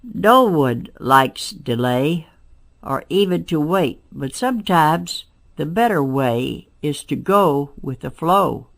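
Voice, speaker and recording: An elderly woman, aged ninety-four, reads a children's picture book aloud in a gravelly, creaky voice. Her pace is extremely slow and deliberate, and she pauses between short phrases of the rhyme. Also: deep voice, expressive. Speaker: amateur story reader.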